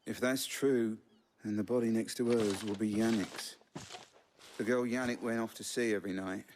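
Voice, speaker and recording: An actor playing a sly, probing follower in a video game, pointed and mocking in manner. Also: male voice, lowish voice, steady tone, moderate pace, darkish tone, slight background noise, lightly acted. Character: sly, probing man shadowing the hero. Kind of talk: video game cutscene acting.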